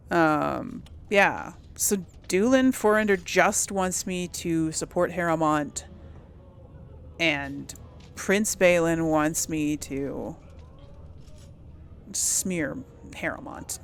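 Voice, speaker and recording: A woman's voice: on the low side, a little hiss or hum. Casual woman musing through a game. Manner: musing aloud, conversational. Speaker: gaming channel host. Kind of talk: casual game role-play commentary.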